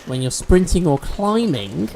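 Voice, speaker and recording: Gaming host, male, mellow tone, sniffly, chatty walkthrough delivery. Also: on the high side, very animated, unhurried, gravelly, slight background noise. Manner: chatty, explains game mechanics as he plays. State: sniffly from allergies. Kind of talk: let's-play commentary.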